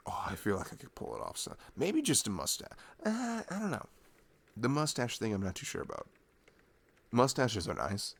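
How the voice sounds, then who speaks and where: A man's voice: lowish voice, gravelly, full character voice. A young man playing a sweet, playful boyfriend in an ASMR roleplay, chatting tenderly and casually in a rambling, teasing way. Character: sweet, playful, affectionate boyfriend cuddling his partner. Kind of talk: ASMR boyfriend roleplay, improvised intimate chat.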